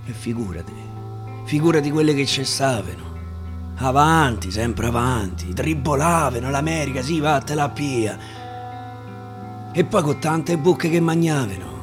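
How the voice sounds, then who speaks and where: A man with a Roman accent reciting a dialect monologue theatrically, swinging between hushed murmurs and loud declaiming. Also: medium pitch, animated, measured pace. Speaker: actor reciting a dialect narrative poem. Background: acoustic guitar music.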